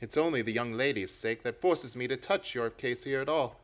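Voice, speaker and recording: An actor playing a brilliant, severe, self-assured English detective in a radio play, with a clipped, imperious, theatrical manner. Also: male voice, mid-range voice, moderate pace, lightly acted. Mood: probing, cool.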